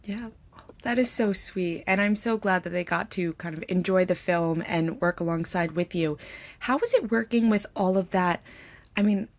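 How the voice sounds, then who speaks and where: A woman's voice: lowish voice, moderate pace, no echo. A woman interviewer in a conversational interview, speaking in an articulate, supportive and affirming way. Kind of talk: conversational film festival interview.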